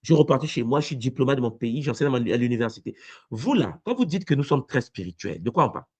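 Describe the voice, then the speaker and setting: Animated man pressing questions. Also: medium pitch, quick pace, breathy edge. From Congo. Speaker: university lecturer. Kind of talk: debate-style discussion.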